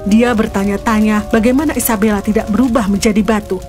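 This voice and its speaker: A woman's voice: medium pitch, fairly even intonation, fast talker, darkish tone. A woman storyteller giving a scripted narration of a fairy tale. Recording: music behind the voice. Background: background music.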